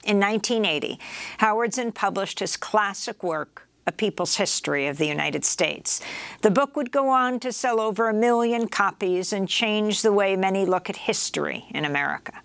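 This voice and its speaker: A woman hosting a news program, reading a scripted introduction in a measured way. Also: medium pitch, animated, creaky, fairly bright tone, dry recording. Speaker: news show host. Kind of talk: scripted narration.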